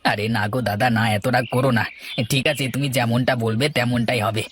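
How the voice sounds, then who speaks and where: A man's voice: mid-range voice, steady tone, normal speed, fairly bright tone, a little hiss or hum, natural voice. A man voicing a jittery, wheedling petty thief, greedy and cowardly, in a cartoon. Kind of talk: Bengali cartoon dialogue.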